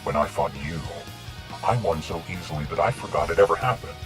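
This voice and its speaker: Super deep jedi commander, confident n terse, game cutscene, music going loud behind him. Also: male voice, fairly quick. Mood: dismissive. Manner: terse, self-assured. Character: confident, stoic heroic male Jedi commander. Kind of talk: video game voice acting.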